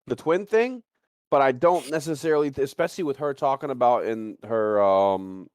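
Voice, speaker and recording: A man co-hosting a casual livestream, talking in a halting way and repeating phrases as he thinks aloud. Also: medium pitch, darkish tone, smooth, no room sound, clean studio sound. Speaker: co-host of a live show.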